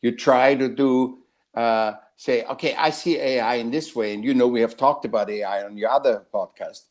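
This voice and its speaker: A man, a guest artist heard over a video call, wanders through his thoughts. His sentences stop and restart, and he circles back to find a word. His voice sits at an ordinary middle pitch for a man. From Austria. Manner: rambling, halting, with many restarts. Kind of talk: casual interview talk.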